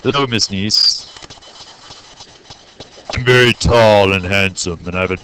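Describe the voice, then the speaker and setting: A man's voice: lowish voice, unhurried, pushed and bright, slight background noise. A man teaching a math lesson to his class, joking and playful, talking straight to the students. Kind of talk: classroom lesson recorded on video.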